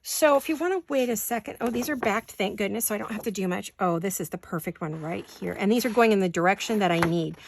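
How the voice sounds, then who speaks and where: A woman's voice: medium pitch, fast talker, gravelly, few pauses, a little hiss or hum. A woman hosting a casual craft-along, rambling and thinking aloud with folksy, playful exclamations. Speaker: craft vlog host. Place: home craft studio.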